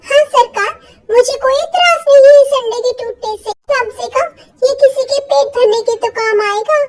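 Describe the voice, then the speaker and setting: A woman's voice: extremely high pitch, normal speed, distant mic. A woman voicing a scheming, indignant female bird in a moral-story cartoon, insistent and brazen. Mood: brazen agreement.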